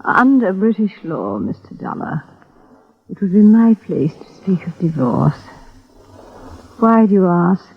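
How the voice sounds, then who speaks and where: An actress playing an ailing, bitter, proud planter's wife in formal, measured 1950s radio drama style. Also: female voice, medium pitch, very animated, husky, heavily acted. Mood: bitter, defiant. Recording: muffled tinny old radio broadcast.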